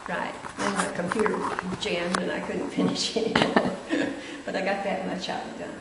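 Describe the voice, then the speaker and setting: Creaky older woman in an echoey hall. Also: lowish voice, level tone, moderate pace. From rural Missouri, United States. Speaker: former pupil and local historian sharing memories. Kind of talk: casual reminiscence. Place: large echoing indoor room, recorded from a distance. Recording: very echoey hall, distant mic, noisy.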